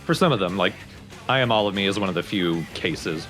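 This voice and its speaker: Low-voiced man giving critical game commentary. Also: lively intonation, fairly quick. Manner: critical, opinionated, explanatory. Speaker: let's play co-commentator. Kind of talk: casual game commentary with friends. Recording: game music behind the voice. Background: video game music.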